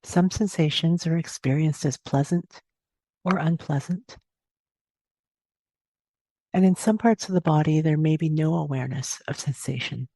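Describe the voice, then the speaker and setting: A woman leads a guided meditation in a dark, relaxed voice with a creaky edge. She gives gentle, unhurried instructions and leaves long pauses between phrases. The recording is close and dry, with no room sound. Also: low voice, no echo. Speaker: meditation teacher. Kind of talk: guided body scan meditation narration.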